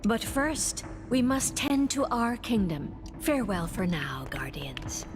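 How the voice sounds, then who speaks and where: A woman's voice: medium pitch, big pitch swings, takes it slowly, music in the background. A woman playing a commanding queen in a video game, formal and stately in her speech. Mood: regal, resolute. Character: regal, commanding queen of a superhero royal family.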